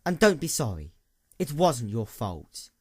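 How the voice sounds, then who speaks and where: Man as world-weary female unicorn, bitter, quiet and even, measured words, dry storybook reading. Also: medium pitch, no room sound. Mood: flat, unshakable.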